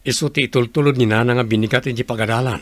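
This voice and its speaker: A man's voice: mid-range voice, steady tone, rapid-fire, dry recording, lightly acted. A man acting a resigned, plain-spoken village father in an Ilocano radio drama. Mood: friendly.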